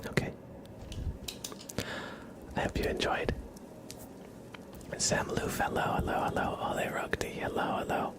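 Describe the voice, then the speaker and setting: A man hosting a casual ASMR livestream, chatting to viewers in a soft, breathy, whispery voice with an unhurried, rambling manner. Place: room at home with a window.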